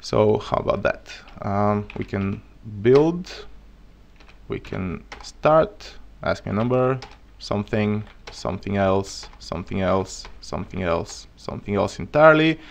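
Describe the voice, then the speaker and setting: A man with a Bulgarian accent, his first language Bulgarian, talks through a live coding lesson at his desk mic. His voice sits fairly low and has a gravelly, creaky edge. He thinks aloud as he goes and keeps the tone loose and joking. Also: lively intonation, unhurried, slight background noise. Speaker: programming streamer.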